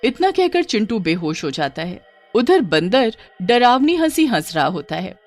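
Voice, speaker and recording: A woman's voice: fairly high pitch, big pitch swings, measured pace, faint music behind. A woman narrating a scripted children's horror story.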